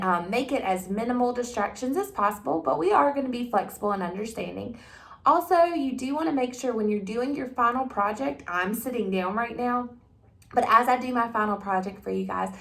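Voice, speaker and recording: A woman presenting in an instructive, expressive way, as for a tutorial or a storybook reading. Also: on the high side, gravelly. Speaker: 4-H agent, presenter. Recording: roomy echo, distant microphone.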